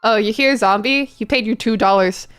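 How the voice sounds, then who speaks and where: A woman's voice: medium pitch, moderate pace, a bit bright, clean tone, faint music behind. A woman streamer reading fanfiction aloud in a mock-serious comic style, mixed with casual asides.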